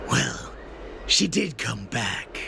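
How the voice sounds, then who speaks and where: An actor playing a savage, scornful wolf-man captor in a game, with a gravelly voice and a sneering, threatening delivery. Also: mid-range voice, big pitch swings, extremely slow, noisy background, full character voice. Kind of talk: English game villain acting.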